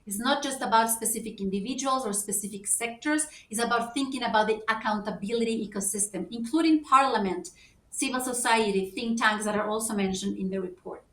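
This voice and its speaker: An earnest woman makes her case on a panel, moving through her points in a clear order. Her voice is fairly high. It is recorded from some distance in a reverberant room. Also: a little fast. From Ecuador. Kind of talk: panel discussion remarks. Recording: roomy echo, mic at a distance.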